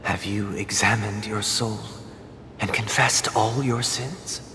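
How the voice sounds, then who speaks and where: A man playing a composed young religious leader in a video game, hearing confessions in a measured, formal, priestly manner. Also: fairly even intonation, bright, forceful tone. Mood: solemn, calm. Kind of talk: formal scripted game dialogue. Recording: long cathedral-like reverb, faint rumbling noise.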